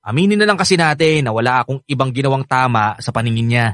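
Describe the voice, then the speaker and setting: A man's voice: mid-range voice, quick pace, a bit bright, dry recording, studio quality, natural voice. A man with a Filipino accent playing a sulky, rebellious sixteen-year-old boy in a radio drama, whiny and argumentative. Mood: bitter, hurt. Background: background music.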